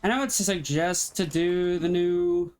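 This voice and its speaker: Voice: mid-range voice, unhurried, a little mellow, a little hiss or hum. A game streamer giving casual, offhand, laid-back commentary while playing live. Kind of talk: casual livestream gameplay commentary. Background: faint game music.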